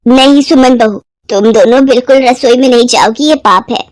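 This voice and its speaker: A woman acts out an elderly mother-in-law from a moral-story drama, bossy and set in old ways. The voice is high and close, with very little room around it. The delivery scolds and commands. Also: normal speed, no room sound, natural voice. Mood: commanding.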